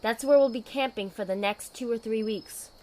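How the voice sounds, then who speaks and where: A woman playing a dutiful, weary knight commander in an audio drama, speaking in a measured, authoritative way. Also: on the high side, dark tone, close to an everyday voice, rough recording. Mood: businesslike.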